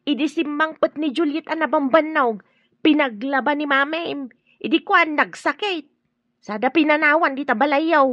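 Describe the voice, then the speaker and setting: A woman's voice: high-pitched, moderate pace, fairly bright tone, no room sound, lo-fi sound. A woman with a Filipino accent playing an eager, concerned, helpful neighbour in a radio drama, speaking earnestly. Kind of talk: Ilocano radio drama acting.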